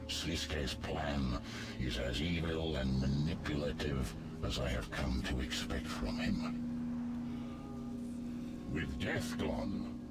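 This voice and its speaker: An actor playing an ancient, stern and wrathful god of the dead in a video game, with a very deep gravelly voice and a grave, imperious, formal manner. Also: male voice, very noisy, exaggerated voice.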